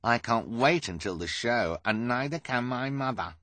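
A man's voice: mid-range voice, expressive, pushed and bright, dry recording, voice pushed up. A man voicing a rude, sarcastic man who jeers and taunts in a storybook. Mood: sneering, sarcastic. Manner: sarcastic, drawn-out jeering.

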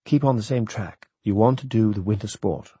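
A playful young woman, read by a man in his own low register. The lines come light and teasing. The voice is slightly husky, and the recording is close and dry. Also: normal speed, dark tone, dry recording.

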